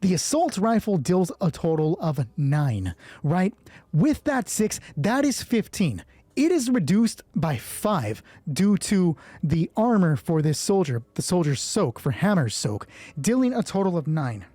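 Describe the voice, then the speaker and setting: A man's voice: high-pitched, very animated, a little slow, dark tone, no room sound. A man game mastering a solo roleplaying game, thinking aloud through dice rolls in casual, sweary commentary.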